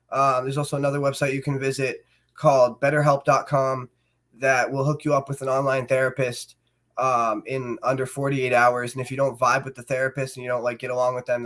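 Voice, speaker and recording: A man with a cold and a stuffy voice, hosting a casual show and talking in a relaxed way with many fillers. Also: medium pitch. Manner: relaxed, filler-heavy, warm encouragement. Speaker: host.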